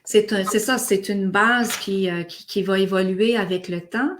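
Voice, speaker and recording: Québécoise guest, mid-pitched, halting with euhs. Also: female voice, slight background noise. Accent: Québécois French. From Québec. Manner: warm-hearted, hesitant, many fillers. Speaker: guest, game co-creator. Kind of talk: casual live conversation.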